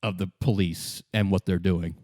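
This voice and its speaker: Man, game master, low-pitched, casual halting narration, conversational, very dry sound. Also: steady tone, a little mellow, no room sound.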